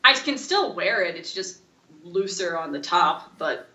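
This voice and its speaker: A woman co-hosting a casual podcast, rambling through stories in an animated, informal way. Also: on the low side, unhurried, echoey room. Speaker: podcast co-host. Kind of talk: casual chat.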